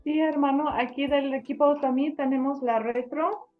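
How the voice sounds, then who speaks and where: A woman's voice: sits high, steady tone, moderate pace, phone-quality sound, faint music behind. A woman from a translation team reading a draft aloud carefully, hesitating as she searches for words.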